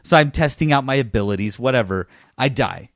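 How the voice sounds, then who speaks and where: A man's voice: medium pitch, expressive, a little fast, no room sound. Guy podcast host doing a casual game review, emphatic and repeats himself, dry close sound.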